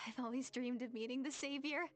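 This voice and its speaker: Casting: young village believer, high voice, earnest and hesitant, game dialogue over soft music. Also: female voice, steady tone, normal speed. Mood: solemn, wistful. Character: earnest, devout young village woman seeking a prophesied savior. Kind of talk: video game voice acting.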